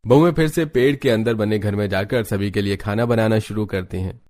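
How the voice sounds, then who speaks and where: कहानी सुनाता गहरी आवाज़ वाला पुरुष. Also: male voice, fairly low pitch, fairly quick, darkish tone. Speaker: narrator. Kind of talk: scripted cartoon story narration.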